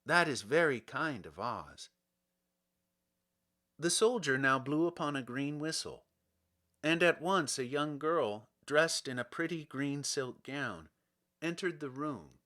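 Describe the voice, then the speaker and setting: A man's voice: a little high, a little slow, fairly bright tone. A man reading a children's storybook aloud as scripted audiobook narration. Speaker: audiobook reader.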